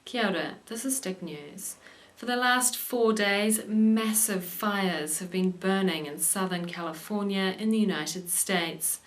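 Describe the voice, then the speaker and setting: A young woman hosting an English lesson show and reading the news in a clear, steady way. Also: fairly low pitch. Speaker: English teacher and show host.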